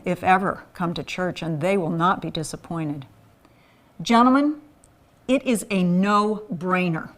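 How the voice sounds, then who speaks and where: A woman raised in Thailand, delivering an earnest scripted appeal for a men's ministry. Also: mid-range voice. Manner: earnest, pleading.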